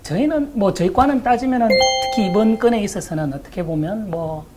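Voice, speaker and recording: A woman, a trade official, answering interview questions modestly and softly, chuckling at times. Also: mid-range voice, brisk. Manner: modest, soft-spoken, chuckling. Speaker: trade official, division director.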